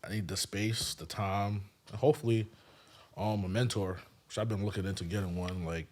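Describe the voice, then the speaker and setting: A young man from Haiti whose first language was French, telling his story as a guest in a relaxed, slangy podcast chat. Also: on the low side, normal speed. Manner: laid-back, slangy storytelling. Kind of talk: casual chat.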